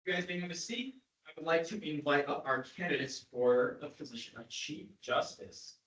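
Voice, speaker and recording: A man's voice: fairly high pitch, moderate pace, a bit bright. A young man moderating a student forum, his slightly raised voice picked up from a distance. The large room rings around him. He runs the event plainly, step by step, reading out the rules and time limits. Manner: announces steps plainly, gives timed instructions. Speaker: town hall moderator. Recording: echoey room from far off.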